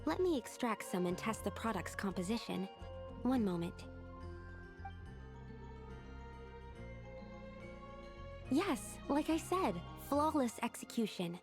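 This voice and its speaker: A woman's voice: fairly high pitch, big pitch swings, moderate pace, over music. A woman playing an earnest, hesitant young female researcher in a video game, speaking in a precise, tentative, scholarly way. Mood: analytical, calm.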